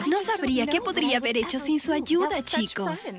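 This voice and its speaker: A woman's voice: sits high, expressive, moderate pace, loud music behind the voice. A woman voicing a friendly, sweetly dramatic female dinosaur in need of rescue in a children's cartoon dub. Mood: relieved, grateful.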